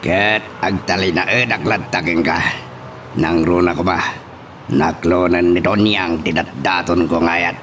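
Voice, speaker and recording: Casting: loyal crime-family henchman, very low voice, Ilocano accent, deferential radio drama delivery, noisy background. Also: steady tone, a little slow, a bit bright. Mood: earnest, deferential. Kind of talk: Filipino radio drama acting. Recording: clear background noise.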